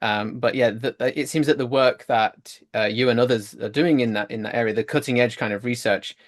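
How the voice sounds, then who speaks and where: Man interviewer, casual and chatty, lots of ums, teasing jokes, mid-pitched voice. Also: steady tone, brisk. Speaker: conference co-chair, interviewer.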